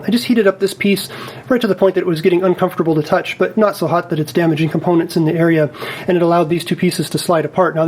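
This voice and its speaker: A man's voice: on the high side, darkish tone, a little hiss or hum. A man giving a casual, step-by-step repair demonstration in a methodical, careful, explanatory way. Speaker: repair technician presenting a tutorial.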